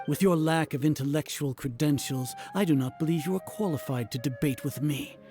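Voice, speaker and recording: An actor playing a haughty, authoritarian senior scholar in a video game, speaking in a pompous, formal, scornful way. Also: male voice, on the high side, brisk, dark tone, faint music behind, lightly acted. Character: haughty authoritarian male grand scholar, contemptuous of art.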